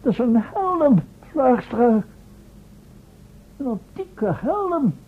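A man's voice: big pitch swings, extremely slow, breathy edge, exaggerated voice. A man playing an impatient, worried professor and inventor in a Dutch radio play, hesitating and mildly scolding. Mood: excited. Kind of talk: Dutch radio drama acting. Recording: thin phone-like old radio recording, noisy, rumble.

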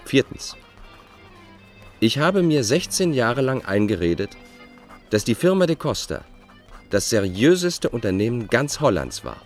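Low male voice, bitter self-justifying fugitive, formal pedantic delivery, widely varied intonation, radio play, faint music. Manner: formal, pedantic, enumerating point by point. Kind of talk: radio drama monologue, letters read aloud.

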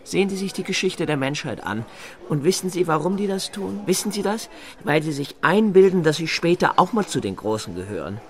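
An actor playing an insistent, menacing snoop with a grudge, in a naturalistic radio drama, formally polite and full of pointed questions. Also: male voice, fairly high pitch, sing-song, a little fast. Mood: bitter, threatening. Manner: formal address, pointed rhetorical questions, needling. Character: insistent, menacing snooping man with a grudge against big shots.

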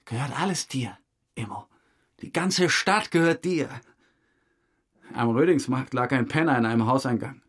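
A man's voice: mid-range voice, expressive, moderate pace, long pauses, fairly bright tone, slightly rough. An actor playing a boastful, weary male suspect who rambles through a confession in a naturalistic radio drama. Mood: boastful, agitated. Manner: rambling confessional monologue, acting out remembered exchanges.

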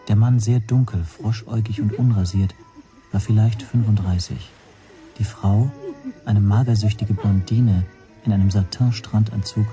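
A male actor as an uneasy, watchful hotel guest narrating a radio play in a low, soft-edged voice. He is measured, quiet and exact, with faint music behind him. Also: lightly acted. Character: nervous, observant male hotel guest telling his story. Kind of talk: scripted first-person radio drama narration.

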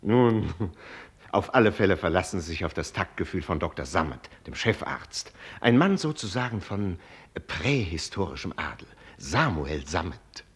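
Ironic doctor mentoring a prince, very animated pitch, bit raspy, teasing w pointed pauses, radio drama. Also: male voice, exaggerated voice. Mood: wry, amused. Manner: urbane, teasing, theatrical with pointed hesitations. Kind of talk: German radio play acting.

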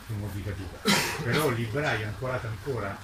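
A man speaking informally at a presentation, halting at times and listing points with emphasis. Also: fairly low pitch. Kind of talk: informal talk at a book presentation. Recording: roomy, distant mic, clear background noise.

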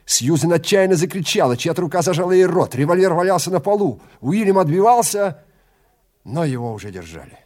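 A man's voice: on the high side, animated, fairly quick, darkish tone. A man narrating a scripted story in a dramatic style.

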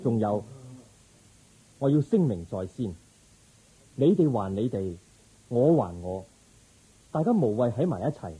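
A man playing a calm, resolute young prince seeking enlightenment in a Cantonese radio drama, speaking in an earnest, measured way. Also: mid-range voice, husky, heavily acted, poor audio. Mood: firm. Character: resolute young prince turned spiritual seeker, calm, compassionate.

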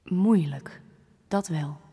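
A woman's voice: on the low side, drawn-out words, big-room sound, background noise. An actress playing the adult Tijne, a reflective, well-traveled woman, in a radio drama.

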